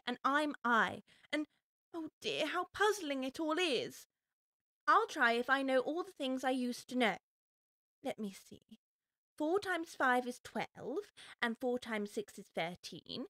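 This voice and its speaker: An actress playing a young girl in an audiobook, polite and easily upset, earnestly questioning herself out loud. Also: sits high, no room sound, clean studio sound. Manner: earnest self-questioning, talks to herself aloud. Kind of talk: full-cast audiobook storybook acting.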